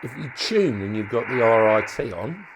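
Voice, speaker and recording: A man's voice: mid-range voice, very animated, normal speed, noisy background. A man giving an informal hands-on demonstration as a hobbyist, thinking aloud in a hesitant way and often trailing off. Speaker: hobbyist presenter demonstrating modified radio gear.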